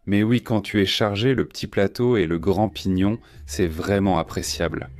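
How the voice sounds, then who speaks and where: A man's voice: on the low side, steady tone, moderate pace, darkish tone. A man hosting a cycling vlog, chatting eagerly as he rides and tours a small shop. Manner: chatty, enthusiastic, often repeats "regarde". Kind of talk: casual on-the-ride vlog chat.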